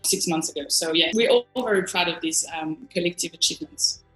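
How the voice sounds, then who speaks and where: A woman's voice: fairly low pitch, brisk, fairly bright tone, echoey room, faint music behind, low-quality recording. A woman from northern France with a French accent, giving earnest, reflective answers as an interview guest. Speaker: interview guest, design agency executive.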